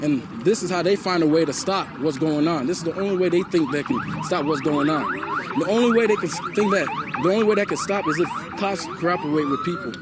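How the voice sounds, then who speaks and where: A man's voice: on the high side, wildly swooping pitch, fast, heavy background noise. A young man from Liberia, interviewed outdoors at a protest, speaking with passion and often repeating himself and asking "you know?". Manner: impassioned, repetitive, often asks "you know?". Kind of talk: street interview. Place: outdoors at a night protest near a mall.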